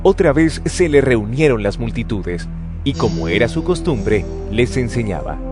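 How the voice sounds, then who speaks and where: Expressive male scripture narrator over music. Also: medium pitch, measured pace.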